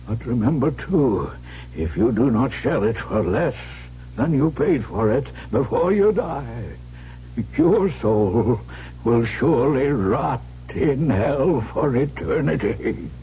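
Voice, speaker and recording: An actor playing a dying, rich old uncle in a radio drama, giving grave, emphatic warnings. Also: male voice, mid-range voice, expressive, warm tone, slight background noise. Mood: urgent warning. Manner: slow, solemn, emphatic warnings. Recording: dull, humming old radio recording.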